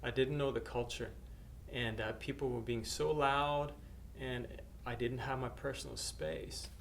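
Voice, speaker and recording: Middle-aged male missionary from Norway, plain mid pitch, halting testimony with fillers; faint background noise. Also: steady tone. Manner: hesitant, many uhs and restarts. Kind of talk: personal testimony to camera.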